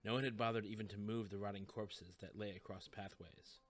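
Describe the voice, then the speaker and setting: A man narrating a scripted story in a measured, literary storytelling manner. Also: fairly low pitch, steady tone.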